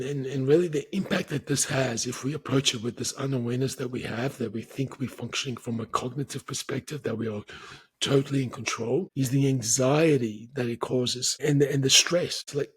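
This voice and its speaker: A man, talking alone on his podcast and explaining things in a measured way, often restarting his words. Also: mid-range voice, slightly rough. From grew up speaking Portuguese. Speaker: podcast host. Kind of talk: solo explanatory talk.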